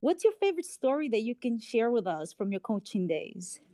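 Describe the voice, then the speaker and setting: A woman's voice: a little high, very animated, a little fast, mellow, dry recording, studio quality. A woman conducting a conversational interview, asking questions and affirming what she hears. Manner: affirming, reflects back on answers.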